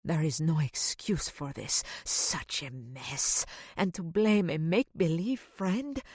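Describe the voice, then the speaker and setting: A woman's voice: low-pitched, takes it slowly, darkish tone, husky, dry recording, clean studio sound, heavily acted. A woman voicing a stern, disapproving middle-aged housekeeper who scolds in stiff, formal phrasing. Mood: scolding.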